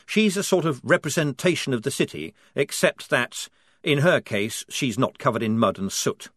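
A man's voice: medium pitch, animated, moderate pace, a bit bright, no echo, studio quality. A man voicing a nervous, eager male newspaper editor who talks in a polite, chatty, persuasive way. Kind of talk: audiobook character voice.

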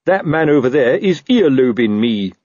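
Fat old officious captain, a man acting, husky and snappish, swooping with self-importance, for a comic fantasy audiobook. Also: medium pitch, big pitch swings, moderate pace, a little mellow, no room sound, lo-fi sound, pitched above the performer's own voice. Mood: blustering. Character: fat, old, pompous, paranoid, bullying police captain.

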